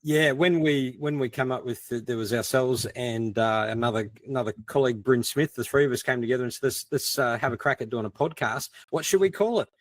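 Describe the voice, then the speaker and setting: A man chats as a panel guest. He is informal and self-deprecating, with a mid-pitched voice in a very dry recording. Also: a little fast, hardly any pauses, no room sound. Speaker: podcast co-host as panel guest. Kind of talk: casual panel discussion.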